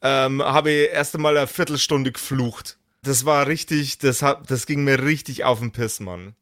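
A man's voice: mid-range voice, moderate pace, a bit bright, no room sound, studio quality. A man talking casually and hesitantly as a podcast co-host, searching for words and using slangy language. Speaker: podcast co-host, usually game master. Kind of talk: casual chat looking back on a role-playing game.

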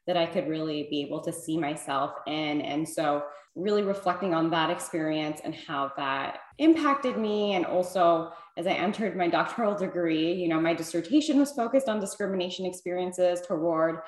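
Earnest low-voiced woman author, echoey room. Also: moderate pace, few pauses. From Illinois, USA. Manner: earnest, thoughtful, many fillers. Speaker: author and clinical psychologist guest. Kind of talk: interview answers.

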